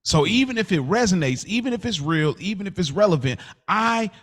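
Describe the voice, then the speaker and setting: A man's voice: high-pitched, expressive, fairly quick. An American man co-hosting a podcast, chatting casually in a slangy, reflective and candid way. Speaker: podcast co-host. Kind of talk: casual conversation.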